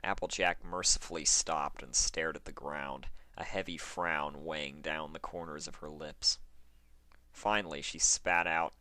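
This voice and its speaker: A man reading fan fiction aloud as scripted, expressive story narration. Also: a bass voice, measured pace, no room sound.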